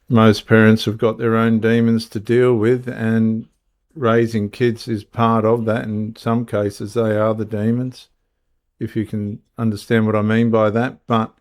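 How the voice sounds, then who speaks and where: A man hosting the show, with a low voice that stays flat and even. He reads a scripted opening, then keeps interrupting his guest to argue his own points. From Australia. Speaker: host.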